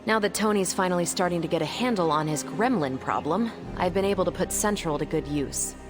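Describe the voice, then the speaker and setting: Casting: actress as confident game superhero captain, lowish female voice, wry and informal, music behind. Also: fairly quick, close to an everyday voice. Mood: wry, brisk. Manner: breezy, wry, colloquial. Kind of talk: video game cutscene acting.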